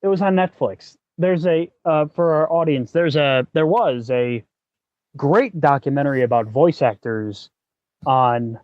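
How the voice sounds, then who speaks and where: A man's voice: a little high, a little slow, no echo, dull audio. A man co-hosting a podcast in a casual discussion, thinking aloud as he goes and swearing now and then. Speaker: podcast co-host.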